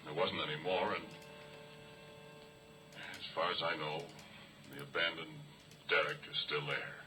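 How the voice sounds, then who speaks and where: A rough, slangy oil-rig hand in an old radio horror play. His voice is very low and he tells his grim story in a folksy way. The old recording sounds distant, with music underneath. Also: fairly even intonation, measured pace. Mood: wry, grim. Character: Porky, rough working-class male oil-rig hand telling a grim tale. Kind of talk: old-time radio horror drama acting, first-person narration.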